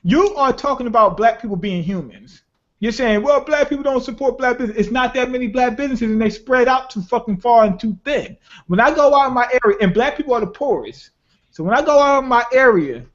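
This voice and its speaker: A man's voice: very high-pitched, expressive, brisk. A man debating bluntly and profanely in a heated casual conversation. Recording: muffled lo-fi audio.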